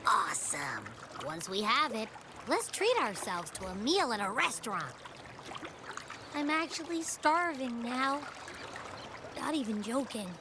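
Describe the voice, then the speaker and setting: Bubbly, swooping high-voiced companion. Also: female voice, very animated, a little slow. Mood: excited. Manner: bubbly, informal. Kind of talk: scripted video game dialogue. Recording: noisy game ambience behind voice.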